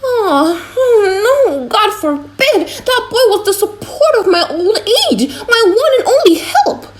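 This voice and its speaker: A woman acts out an old, nearly blind father in an audiobook play. The voice sits high and tends to wail. He is humble and anxious, deferring to whoever he addresses. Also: big pitch swings, slow pace, full character voice. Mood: distraught. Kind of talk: dramatized audiobook reading.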